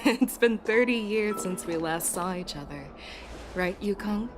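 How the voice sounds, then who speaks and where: A woman playing a seasoned, easygoing war general in a video game, assured and measured. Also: medium pitch, animated, music in the background, close to an everyday voice. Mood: friendly.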